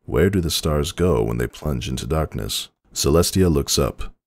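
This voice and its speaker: A man narrating a story dramatically in a measured, solemn manner. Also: extremely low pitch, animated, no echo, clean studio sound.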